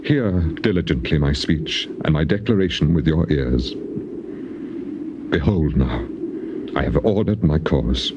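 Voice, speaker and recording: An actor playing a suffering, defiant righteous man in a dramatized scripture recording, declaiming with passion and weighty pauses. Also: rumbling low, expressive. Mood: pleading. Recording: dull, noisy old cassette tape recording.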